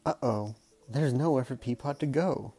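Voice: medium pitch, moderate pace, dark tone, slightly rough, faint music behind. A storyteller reading a picture book aloud in a casual, playful way, with chatty murmured asides.

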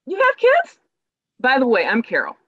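Woman acting an eager church organizer, animated. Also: high-pitched, normal speed, slightly rough, full character voice. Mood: friendly, forward. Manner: eager, proselytizing. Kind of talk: online play reading. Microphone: video call microphone. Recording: dull lo-fi video call.

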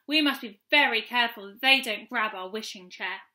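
A woman's voice: fairly high pitch, a little fast, pushed and bright, pitched below the performer's own voice. A woman voicing a merry, obliging little male pixie in a storybook, speaking earnestly. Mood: nervous warning.

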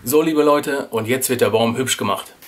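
A man's voice: medium pitch, normal speed, music in the background. A man, around his forties, talking informally and a little hesitantly as a vlogger explaining his work. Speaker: vlogger and audio drama producer. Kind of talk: casual vlog explanation.

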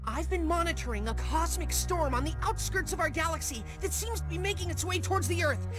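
Very high-voiced anxious little boy. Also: expressive, fairly quick. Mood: worried, insistent. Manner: urgent, pleading. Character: anxious, earnest young boy sidekick. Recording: music under the voice.

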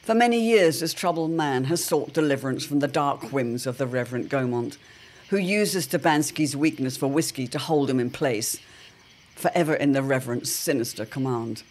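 A man's voice: mid-range voice, moderate pace. A man narrating a scripted serial drama in a theatrical, portentous manner.

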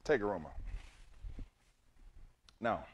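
An older man coaching judo in a large gym, explaining takedowns step by step and punctuating each move with "boom". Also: sing-song, takes it slowly, breathy edge, lo-fi sound. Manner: punchy, repeats "boom" between steps. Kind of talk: demonstrating techniques to students. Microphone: camera across the room.

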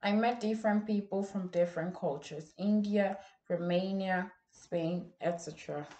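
A teenage girl from Ghana, whose first language is Ga, gives an earnest and measured school speech in a plain indoor room, recorded by a camera set some distance away. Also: mid-range voice, dark tone. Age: teens. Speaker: student speech contestant. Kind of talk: memorized bilingual speech. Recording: echoey room.

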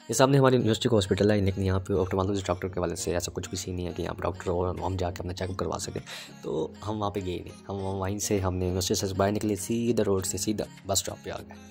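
A man's voice: deep voice, steady tone, fast talker, gravelly, darkish tone. A man in his twenties with a Pakistani accent chatting casually to his phone as a vlogger, explaining things and mixing in English words. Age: 20s. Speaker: vlogger, university student. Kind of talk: casual vlog chat to camera. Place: city streets outdoors and inside shops. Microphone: phone at arm's length. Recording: phone vlog with music laid over. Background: background music.

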